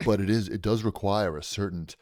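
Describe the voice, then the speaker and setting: Male co-host, deep voice, casual and analytical, dry close sound. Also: steady tone, brisk, a little mellow, dry recording. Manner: analytical, with frequent restarts. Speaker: podcast co-host. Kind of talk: casual chat.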